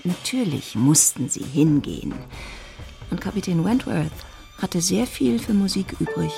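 A woman acting as the narrator of a period radio drama, a composed storyteller with a measured, literary delivery. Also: fairly low pitch, very animated, gravelly, dark tone, faint music behind, heavily acted.

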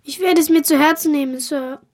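A woman's voice: high-pitched, fairly quick, close to an everyday voice. A woman voicing a polite, brave, earnest young boy in a German radio drama. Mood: eager, polite. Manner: polite, well-bred, earnest.

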